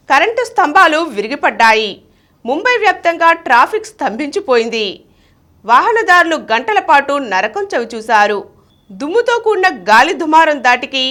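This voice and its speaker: Woman, high voice, lively melodic intonation, formal scripted newsreading, measured announcer delivery. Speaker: news reader. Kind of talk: scripted news bulletin reading.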